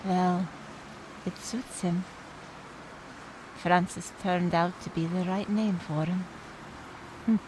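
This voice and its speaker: A woman's voice: on the low side, fairly even intonation, slow pace, long pauses, noisy background. A woman playing a fond, opinionated, wry older aunt in mourning, in radio play dialogue. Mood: fond, puzzled.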